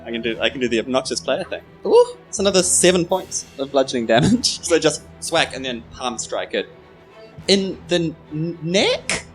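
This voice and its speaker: Animated New man playing tabletop games. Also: a little high, normal speed, poor audio. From New Zealand. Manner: joking, mock-serious. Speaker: player in a tabletop game. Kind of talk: casual banter at a live game. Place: live on stage at a club. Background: music under the voice.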